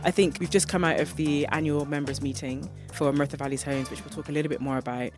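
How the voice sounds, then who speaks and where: A podcast host with a Bristol accent tells stories at a brisk clip. The voice sits in a middling pitch and sounds animated and chatty. It is recorded close and dry, with no room sound. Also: no echo. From Bristol, England. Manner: chatty anecdotal storyteller, animated. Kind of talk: casual conversational interview.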